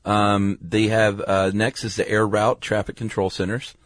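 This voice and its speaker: A man's voice: lowish voice, little pitch movement, unhurried, dry recording. A man co-hosting a podcast, explaining step by step in a colloquial, laid-back, slightly hesitant way. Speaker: podcast co-host. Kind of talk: casual explanatory chat.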